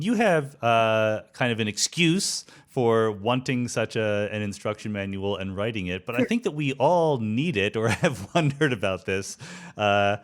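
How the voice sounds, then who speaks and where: A man with a fairly low voice speaks as a podcast host. He explains ideas in a conversational way, dropping in rhetorical asides as he goes. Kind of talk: conversational monologue and interview.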